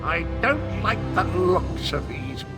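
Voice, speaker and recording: A man's voice: sits high, big pitch swings, slow pace, over loud music, heavily acted. A man voicing a malicious undead knight's talking head in a fantasy video game, sneering and theatrical.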